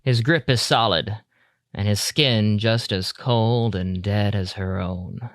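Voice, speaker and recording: A man narrating an audio drama in a deliberate, atmospheric, scripted storytelling style. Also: lowish voice, dry recording, clean studio sound. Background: music.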